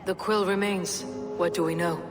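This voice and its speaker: Someone doing a gruff-mannered norse fighter, short clipped lines, hall echo, music. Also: medium pitch, fairly even intonation, normal speed, lightly acted. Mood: terse. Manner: terse, dry, defiant. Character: blunt Norse warrior.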